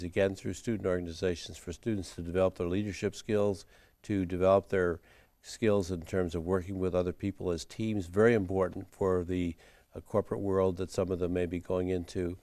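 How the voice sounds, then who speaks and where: Deep-voiced man, formal and measured. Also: mellow, dry recording. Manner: measured, formal, many fillers and restarts. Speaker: college administrator guest. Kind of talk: studio interview answers.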